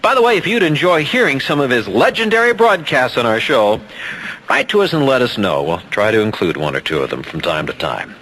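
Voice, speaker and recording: A man's voice: medium pitch, sing-song, fairly quick, fairly bright tone. A man hosting a radio show, reading scripted narration. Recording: noisy off-air radio tape.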